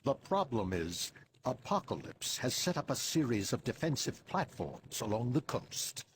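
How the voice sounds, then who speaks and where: Imperious, theatrical mutant leader. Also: male voice, medium pitch, very animated, measured pace, slight background noise. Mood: commanding. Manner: formal, dryly sarcastic. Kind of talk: dramatic video game cutscene acting.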